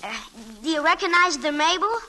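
Very high, shy, eager schoolboy. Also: female voice, expressive, a little fast, bright, forceful tone, heavily acted. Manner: hesitant, earnest, boyish. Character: shy, eager schoolboy model-boat maker. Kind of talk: old-time radio drama acting.

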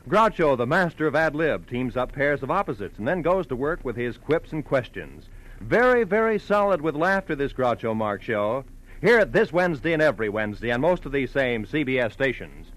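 A man's voice: mid-range voice, big pitch swings, fairly quick, fairly bright tone, low-quality recording. A man announcing in scripted 1940s radio style, with a polished, punchy promotional delivery.

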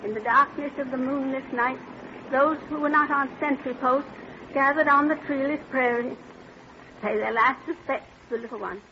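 Pioneer woman narrator, high pitch, wistful, dramatic storytelling, muffled old radio recording with faint hiss. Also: steady tone, moderate pace. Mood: wistful, sorrowful. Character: wistful pioneer woman recalling her wagon-train days.

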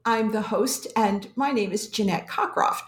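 A woman hosting a history book podcast, introducing and questioning her guest in a measured way. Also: medium pitch. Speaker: podcast host. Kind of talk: podcast interview.

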